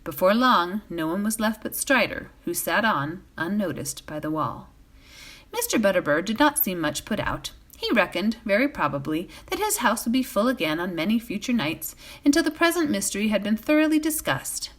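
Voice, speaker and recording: A woman reading a bedtime fantasy novel aloud as a volunteer, in an expressive storytelling style. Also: medium pitch, moderate pace.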